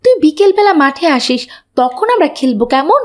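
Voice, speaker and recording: A woman's voice: high-pitched, very animated, normal speed. A woman voicing a studious, dutiful little schoolgirl in a children's cartoon, earnest and pleading. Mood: earnest, protesting. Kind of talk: scripted cartoon dubbing.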